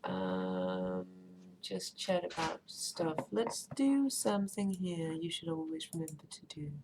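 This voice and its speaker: A woman's voice: low-pitched, very animated, takes it slowly. A woman streamer with Danish as her first language, chatting casually and rambling while she plays and reads chat aloud. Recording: faint background noise.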